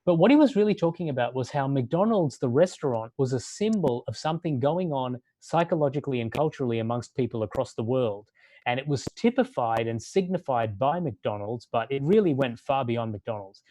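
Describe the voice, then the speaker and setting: A man who grew up in Australia, giving a sermon-like talk in a measured way and building long lists for emphasis. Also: medium pitch, lively intonation, warm tone, no echo, rough recording.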